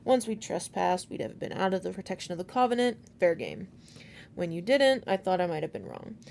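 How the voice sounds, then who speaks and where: Woman acting out a teenage boy demon hunter, cold, confident, accusing, creaky voice, storybook reading, faint noise. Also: fairly low pitch, big pitch swings, a little fast, a little mellow.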